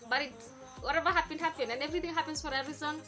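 Kenyan girl in her twenties vlogging, high voice, music playing behind. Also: fairly even intonation, rapid-fire. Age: 20s. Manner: chatty, repeats herself, answers questions one by one. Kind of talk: casual chat to camera. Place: indoors in front of a patterned backdrop. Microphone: camera facing her at close range.

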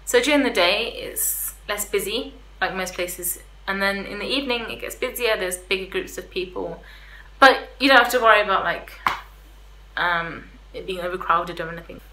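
A woman's voice: medium pitch, moderate pace, sharp tone. A young woman vlogger chatting casually to camera in an informal, slightly hesitant way, giving a review. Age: young adult. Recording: roomy echo, faint background hiss.

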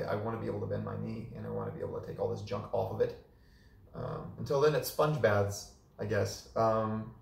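A hoarse young man giving a casual, chatty update in a small living room, filmed by a camera a few meters away. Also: on the low side, distant mic. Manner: relaxed and chatty, with many fillers. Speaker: vlogger. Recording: echoey room, voice off-mic.

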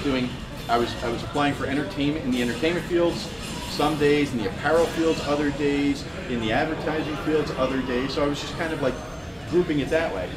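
A man in his fifties giving a casual, self-deprecating talk at a meetup, laughing often as he tells stories. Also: on the high side. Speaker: guest speaker. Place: meetup room in a store, in front of a screen. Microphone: far camera. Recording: echoey room with loud ambience.

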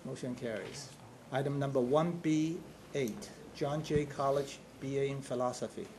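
A man chairing a board meeting, calling votes and items in brisk procedural phrases. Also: mid-range voice, a little mellow. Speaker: committee chair. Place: large conference room. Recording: noisy meeting room.

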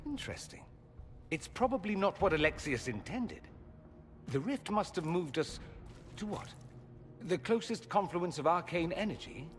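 Dorian, a witty sardonic mage. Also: male voice, sits high, big pitch swings, a little hiss or hum. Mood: curious. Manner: dry, theatrical, quick wit. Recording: game audio with hall reverb and music.

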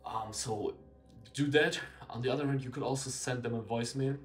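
A man's voice: mid-range voice, brisk. A young man talking to camera in a small room, rambling and blunt, full of fillers and self-corrections. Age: young adult. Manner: candid and blunt, casual swearing. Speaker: marketing consultant. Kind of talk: casual talking-head video. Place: small indoor room with a window.

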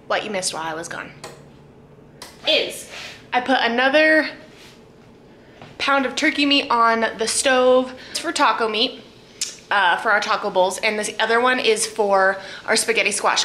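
A woman's voice: mid-range voice, bright, forceful tone, echoey room, slight background noise. A woman in her twenties filming a casual cooking vlog in her small home kitchen, chatty, flustered and self-deprecating, picked up by a camera mic across the room. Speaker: cooking vlogger.

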